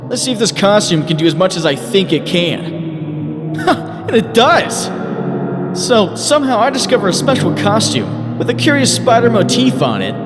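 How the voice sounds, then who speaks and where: Guy doing young smartass superhero for a comic dub, dramatic and wry, super animated, music behind. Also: sits high. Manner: sardonic, quippy, theatrical inner monologue. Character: young wisecracking superhero, self-pitying yet dauntless. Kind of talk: comic dub character acting.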